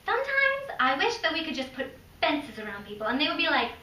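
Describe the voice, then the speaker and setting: A woman's voice: high voice, wildly swooping pitch, a little fast, bright, forceful tone, heavily acted. A woman performing a monologue as an indignant, pleading young film fan, emphatic and casual, in a roomy recording. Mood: indignant, exasperated. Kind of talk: theatrical audition monologue. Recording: roomy, distant mic, faint noise.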